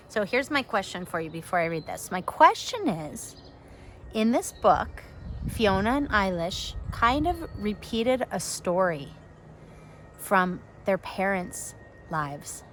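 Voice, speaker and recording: A woman reading a storybook aloud like a teacher, expressive and openly emotional, with casual asides to her listeners. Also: medium pitch, slight background noise.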